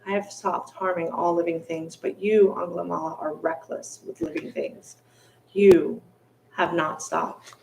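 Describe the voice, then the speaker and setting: A woman's voice: fairly low pitch, a little slow, vocal fry, a little mellow, distant mic, slight background noise. Woman voicing a serene wise teacher. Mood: calm. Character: serene wise male teacher, calm and firm. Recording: echoey room.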